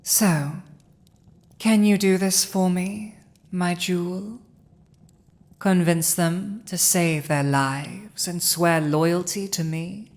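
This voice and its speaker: A woman's voice: fairly low pitch, darkish tone. A woman playing a haughty, cunning dragon ruler in human form, smug and commanding, in a roleplay audio drama spoken to the listener. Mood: commanding, silky. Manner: smug, commanding, deliberate, with pauses.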